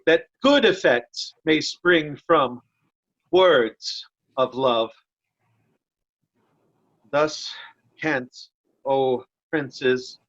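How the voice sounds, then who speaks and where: A woman's voice: deep voice, lo-fi sound, full character voice. A woman playing a loyal, blunt, defiant nobleman in earnest, declamatory Shakespearean verse. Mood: resigned farewell. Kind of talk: Shakespeare reader's theatre acting.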